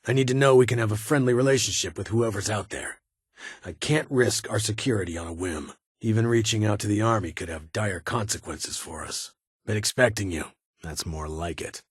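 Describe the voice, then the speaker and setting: An actor playing a stern, guarded military security leader in a video game, speaking formally in clipped, commanding phrases. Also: male voice, fairly low pitch, slightly rough, no echo, clean studio sound. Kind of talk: video game character dialogue.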